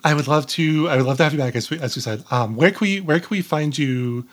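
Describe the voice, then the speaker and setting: A man hosting a casual interview podcast, speaking politely and haltingly and often repeating himself. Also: fairly high pitch.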